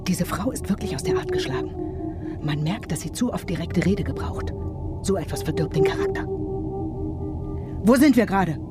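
Haughty passenger, affected, imperious, fussy, fairly high animated voice, radio drama. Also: female voice, normal speed, pauses often, loud music behind the voice. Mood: indignant. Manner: imperious, affected, easily scandalised. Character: haughty, fussy, disdainful woman airship passenger. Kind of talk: German radio play dialogue.

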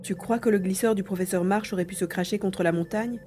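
A woman's voice: mid-range voice, fast, noisy background, close to an everyday voice. A woman playing an adventure heroine who questions her partner during a search in an audio drama. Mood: questioning, concerned. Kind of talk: French audio drama acting.

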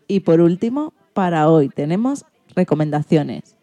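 Spanish woman presenting, mellow lowish voice. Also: sing-song, measured pace, no echo. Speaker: host. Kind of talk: scripted programme presentation.

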